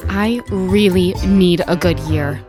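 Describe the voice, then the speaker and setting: A woman's voice: fairly low pitch, slow, music in the background. A woman playing a credulous, anxious islander in a comic audio drama.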